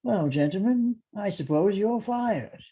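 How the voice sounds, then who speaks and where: A man reading a careful, formal businessman plotting an escape in a drama script, speaking in a measured way. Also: high-pitched, animated, dark tone, breathy edge, pitched above the performer's own voice, heavily acted, poor audio. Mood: wry. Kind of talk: table read of a TV drama script.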